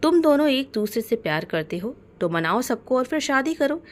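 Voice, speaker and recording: A bold young man from a storybook romance, voiced by a woman narrator. He is protective and commanding. He speaks in stern orders and threats and is quick to anger. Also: high voice, big pitch swings, pitched above the performer's own voice. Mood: angry scolding. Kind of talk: dramatic storybook narration in Hindi.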